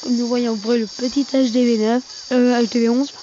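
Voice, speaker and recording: A gaming video host giving casual, halting commentary on a mobile game, often repeating and correcting phrases, on a muffled amateur recording. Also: medium pitch, level tone, background noise.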